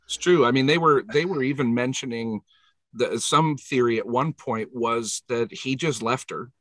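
A man in his thirties chatting casually on a video call headset, animated and acting out the quoted words of others. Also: mid-range voice, normal speed, no room sound. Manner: animated, acts out quoted voices. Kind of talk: casual chat.

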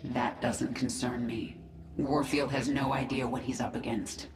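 Low, commanding swarm queen. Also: fairly even intonation, reverberant, music in the background. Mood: cold, controlled. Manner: cold, imperious, deliberate. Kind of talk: video game cutscene acting.

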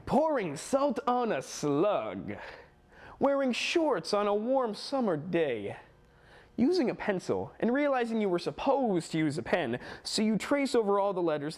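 Animated woman giving a dramatic reading. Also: mid-range voice. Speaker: drama student. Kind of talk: dramatic reading of written verse.

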